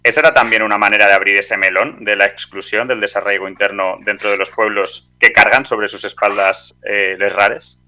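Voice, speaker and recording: A man with an accent from Spain, hosting an interview with long, prepared questions delivered in halting phrases. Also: fairly low pitch, fairly even intonation, bright, forceful tone. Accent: Spanish from Spain. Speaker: podcast host, interviewer.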